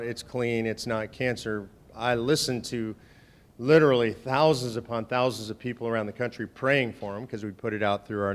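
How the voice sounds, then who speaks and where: A man with a naturally loud voice speaks to a room of supporters and legislators. His delivery is conversational yet emphatic, sitting at an ordinary middle pitch. He keeps checking in with the crowd through rhetorical questions. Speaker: organization president speaking to volunteers. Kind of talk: speech with audience questions. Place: meeting room with a live audience.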